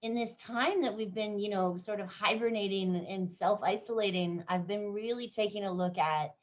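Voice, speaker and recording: A woman's voice: medium pitch, normal speed, muffled recording. A woman host from Canada asking questions and responding in an affirming, thoughtful way. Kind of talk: casual interview.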